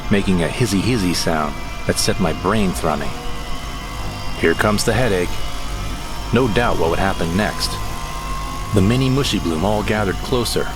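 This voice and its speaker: A man narrating a comic audio drama in the first person, in a wry, theatrical storytelling manner. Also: low voice. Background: music.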